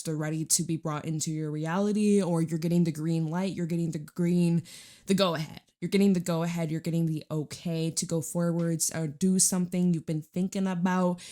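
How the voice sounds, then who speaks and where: Raspy, slightly low-voiced woman reading tarot. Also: warm tone. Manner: informal, emphatic, repeats phrases for emphasis. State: hoarse, recovering from losing voice. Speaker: tarot reader and channel host. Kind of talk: casual, chatty tarot reading.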